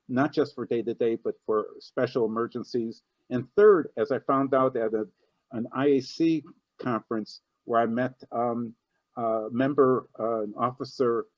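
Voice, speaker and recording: A man's voice: medium pitch, a little mellow, no room sound. A man hosting an online event, speaking casually with many ums and restarts. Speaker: host of a video-call event. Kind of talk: casual closing remarks and thanks. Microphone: video call. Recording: dull, lo-fi video-call audio.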